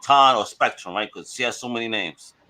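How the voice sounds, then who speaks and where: Bright-voiced podcast host, chatty man. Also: medium pitch, a little fast, low-quality recording. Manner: chatty, laughs often, enthusiastic. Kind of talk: casual podcast chat.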